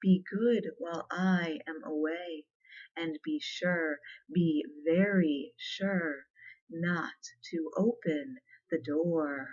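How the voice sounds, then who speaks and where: Woman as a gentle, very slow-spoken mother goose. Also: deep voice, dull audio. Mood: tender warning. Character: loving mother goose, gentle but warning. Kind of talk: ASMR storybook reading.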